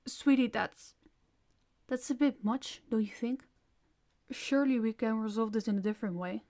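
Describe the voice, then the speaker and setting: Woman playing a higher-voiced, gentle vampire mom. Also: moderate pace, natural voice. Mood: worried, coaxing. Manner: soft-hearted, coaxing, parental. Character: gentle, concerned vampire mother, nurturing but firm. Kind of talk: audio roleplay acting addressed to a child.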